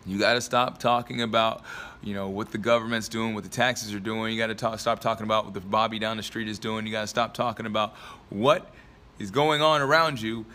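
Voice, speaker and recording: Slightly raspy young man, bright tone. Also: fairly low pitch, steady tone, fairly quick. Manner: rambling, filler-heavy, laughs often. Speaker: motivational vlogger and podcaster. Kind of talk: casual motivational talk to camera.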